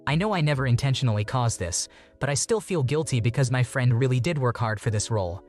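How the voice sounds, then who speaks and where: A man narrating online stories in a mid-pitched voice that moves along quickly. Music plays softly behind him throughout. His delivery is even and practiced, with short comments between the stories. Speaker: narrator reading online posts. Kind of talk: scripted narration of forum stories with brief comments. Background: background music.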